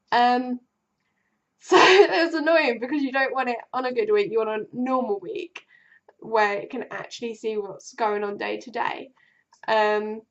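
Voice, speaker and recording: A young woman talks straight to camera in a casual vlog. She has a cold, so her voice is bunged up and keeps fading. She rambles with plenty of ums and false starts, and the recording sounds dull and a little lo-fi. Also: on the high side. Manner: rambling, many ums and restarts. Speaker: vlogger.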